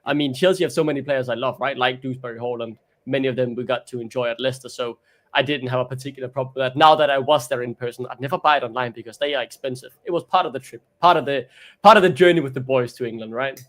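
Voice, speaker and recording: Man, rapid chatty talk, rambling, co-host on a call. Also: mid-range voice, fairly bright tone. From Denmark. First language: Danish. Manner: rambling, chatty, repeats his opening words. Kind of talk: casual fan chat.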